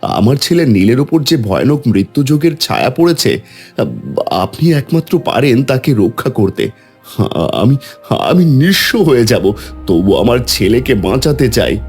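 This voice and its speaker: A man's voice: mid-range voice, sing-song, unhurried, a little mellow, husky. Guy playing a worried pious rich dad in a cartoon, voice swings a lot, pleading, music behind. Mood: desperate pleading. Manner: reverent, emotional, pleading. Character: devout, anxious landowner father, humble and desperate. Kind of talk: dramatic devotional cartoon dialogue.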